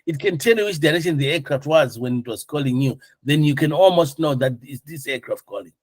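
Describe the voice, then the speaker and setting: A male instructor with a slightly high voice, recorded fairly dry. He lectures in an informal, explanatory way and keeps putting questions to his students to check they follow. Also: a little fast, no echo.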